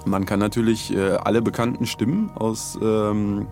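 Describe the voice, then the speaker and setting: Podcast guy, lowish voice, wry and playful, casual studio chat. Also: steady tone, normal speed, gravelly, darkish tone, faint music behind. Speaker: podcast co-host. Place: recording studio.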